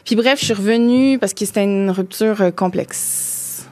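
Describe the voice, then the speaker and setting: A woman's voice: mid-range voice, normal speed, warm tone, dry recording. Québécoise woman in her 30s chatting away, lots of euh and restarts, mid voice. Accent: Québécois French. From Quebec. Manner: chatty, many fillers and restarts. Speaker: podcast guest. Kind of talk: casual chat.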